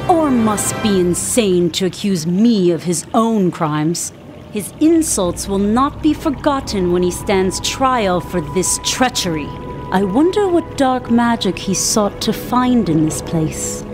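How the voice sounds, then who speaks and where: A woman's voice: medium pitch, big pitch swings, over music. Proud, regal undersea warrior queen. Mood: resolute. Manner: formal, regal, measured diction. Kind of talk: video game voice acting.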